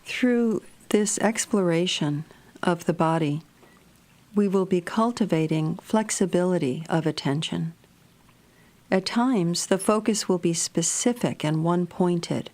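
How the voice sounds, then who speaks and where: Woman, meditation guide, very slow pace, slightly low pitch, gentle soothing instruction.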